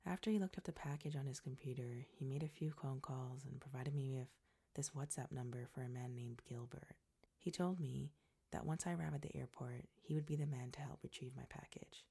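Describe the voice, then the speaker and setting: A woman narrating a personal story in a soft, breathy, measured way. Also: extremely low pitch, fairly even intonation.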